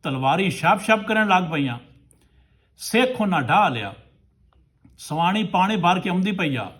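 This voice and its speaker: A man's voice: sits high, very animated, a little slow, a bit bright. A man telling a folk tale to listeners as a storyteller, in short rustic phrases.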